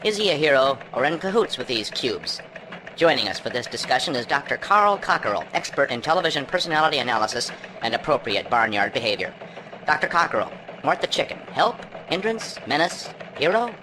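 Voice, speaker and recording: An actor playing a melodramatic chicken news anchor in a game cutscene, with earnest mock-serious delivery. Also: male voice, a little high, big pitch swings, fairly quick, noisy background. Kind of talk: parody newscast delivery. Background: music.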